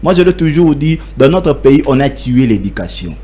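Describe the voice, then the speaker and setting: A young man with a Congolese accent, speaking haltingly in a debate and switching between French and Lingala. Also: medium pitch, sing-song, mellow. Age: young adult. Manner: halting, many restarts, mixes French with Lingala. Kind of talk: hesitant debate talk.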